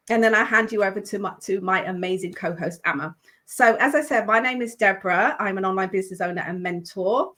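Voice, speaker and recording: Upbeat encouraging female co-host, bright tone. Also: mid-range voice. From Jamaica or UK. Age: middle-aged. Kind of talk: online business talk show.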